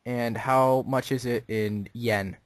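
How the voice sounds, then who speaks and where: A man on a phone call as a customer, asking naive-sounding questions in a deadpan way. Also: mid-range voice, slow pace, no echo. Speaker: prank caller posing as a customer.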